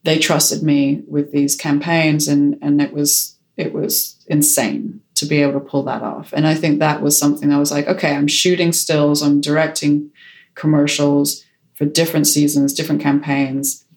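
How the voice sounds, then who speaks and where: Low-voiced woman, decisive and frank. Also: little pitch movement, normal speed, darkish tone. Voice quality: strong voice. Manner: decisive, frank, self-assured. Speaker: director and photographer. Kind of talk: interview answers.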